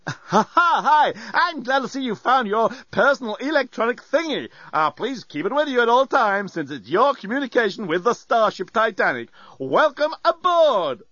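A man's voice: very high-pitched, sing-song, normal speed, sharp tone, no echo, highs cut off, pitched above the performer's own voice, exaggerated voice. A man voicing a breezy, cheeky, over-familiar robot bellboy with exclamatory hipster delivery in an audiobook story. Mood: breezy, excited.

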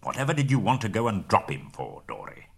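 A man doing a stern old wizard, gruff and commanding. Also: lowish voice, very animated, fairly quick, heavily acted. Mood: scolding. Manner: forceful, scolding.

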